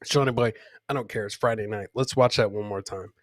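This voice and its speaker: A man's voice: on the low side, steady tone, a little fast, dry recording, clean studio sound. A man hosting a comedy podcast, casually commenting on funny clips in a folksy, joking way and repeating his lines for effect. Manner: laughs often while talking.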